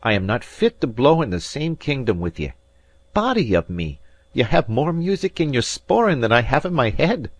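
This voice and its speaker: Brief: man playing a proud, touchy Highlander, raised and formal in a dry, close storybook read. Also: no echo. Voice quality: broad dialect, formal and proud. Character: proud Highland piper man, ornate and prickly.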